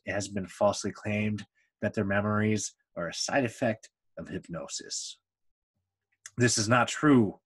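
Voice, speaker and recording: Low-voiced man reading a book aloud. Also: a bit bright. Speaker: book reader.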